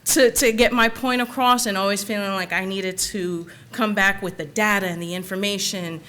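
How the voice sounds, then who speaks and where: A woman's voice: medium pitch, fairly even intonation, moderate pace, pushed and bright. A woman panelist answering an audience question in a candid, reflective way, laughing now and then.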